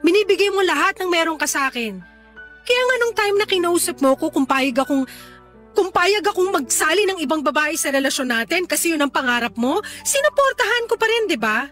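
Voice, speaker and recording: A woman's voice: very high voice, animated, a little fast, fairly bright tone, faint music behind. A Filipino actress playing an insistent, pleading, scheming girlfriend in an emotional Tagalog radio drama. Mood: soft, earnest. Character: pleading, scheming first girlfriend in a polyamorous household.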